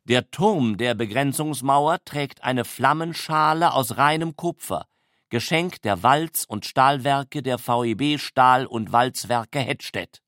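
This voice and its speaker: A man narrates in a formal documentary style, taking it very slowly. His voice sits at a medium pitch and is recorded close and dry, with a matter-of-fact tone. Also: fairly bright tone, dry recording.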